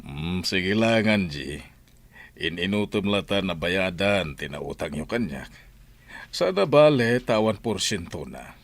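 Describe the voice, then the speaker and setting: Actor as easygoing older moneylender, lower voice, drawl, Ilocano accent, radio drama. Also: male voice, lively intonation, a little slow.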